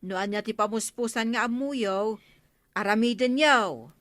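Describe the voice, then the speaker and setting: A woman's voice: fairly high pitch, unhurried, fairly bright tone, dry recording. A woman playing a matter-of-fact village official with an Ilocano accent in a radio comedy drama. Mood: explaining.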